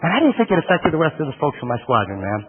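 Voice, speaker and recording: Southern man from Alabama, motivational keynote speaker with a somewhat high, husky voice; lively delivery to a room, thin phone-like audio. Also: racing. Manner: animated, calls on audience members by name. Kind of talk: energetic keynote speech, questioning the audience. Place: conference room with a live audience. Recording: tinny phone-like sound.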